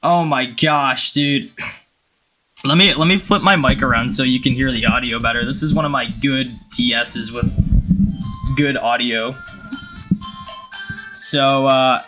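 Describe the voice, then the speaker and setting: A man with a cold giving casual, excitable, slangy gaming commentary. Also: medium pitch, measured pace, long pauses, faint music behind, low-quality recording. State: has a cold. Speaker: gaming video host.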